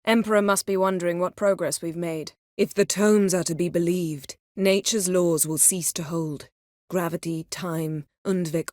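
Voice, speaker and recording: Haughty sorceress lady from a fantasy game, kinda low voice, cool n clipped, sardonic, very formal. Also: fairly bright tone, dry recording, studio quality. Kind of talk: video game voice acting, scripted dialogue.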